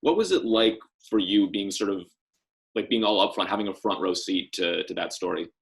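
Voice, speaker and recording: Male podcast interviewer with a deep voice, casual sports conversation. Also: normal speed, vocal fry.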